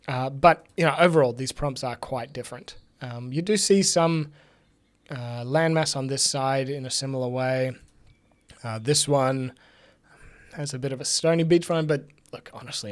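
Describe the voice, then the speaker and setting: A young man with a slightly raspy voice, recovering from illness, hosting a casual live tech stream from a home office. He thinks aloud with many fillers and drops in dry, sarcastic asides. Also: medium pitch. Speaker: livestream host. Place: home office with bookshelves, indoors.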